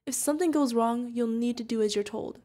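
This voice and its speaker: A woman's voice: fairly high pitch, brisk, warm tone, lightly acted. A woman playing a protective older witch mentor, fond, teasing and stern, in an ASMR fantasy roleplay. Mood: stern, earnest. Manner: affectionate teasing, mock-exasperated. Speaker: voice actor.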